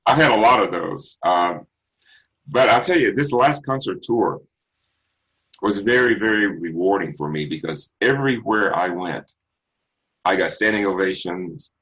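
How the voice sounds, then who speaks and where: A middle-aged man from Texas with a bass baritone voice, a singer answering interview questions in an unhurried, reflective way with many ums and restarts. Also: expressive, a bit bright, low-quality recording. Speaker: singer guest.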